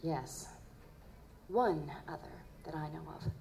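A woman playing an earnest, gentle psychic in an audio drama, speaking in a hesitant, solemn manner. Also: deep voice, lively intonation, slow, gravelly, exaggerated voice. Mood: pensive. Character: earnest, gentle female psychic who reads ghostly impressions. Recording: live performance with background noise.

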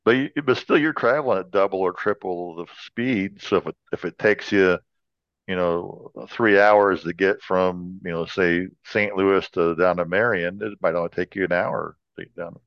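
Casual American male co-host, low-pitched, anecdotal and joking, slightly muffled sound. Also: moderate pace, no room sound. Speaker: podcast co-host. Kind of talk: casual chat.

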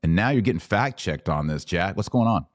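Male host for a casual current-affairs interview podcast, lowish pitch with animated swings. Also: a little fast, no room sound, studio quality.

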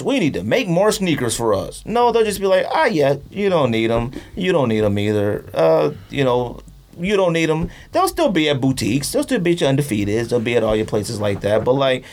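A man in casual podcast chat, talking in a slangy, animated way and acting out imagined quotes. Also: medium pitch, normal speed. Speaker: podcast host.

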